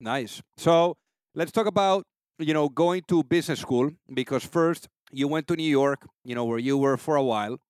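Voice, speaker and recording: A man's voice: on the high side, moderate pace, no room sound. A man hosting a business interview podcast, asking loose, conversational questions with many fillers. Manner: relaxed, filler-heavy questions. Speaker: podcast host. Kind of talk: casual interview, reads sponsor ad.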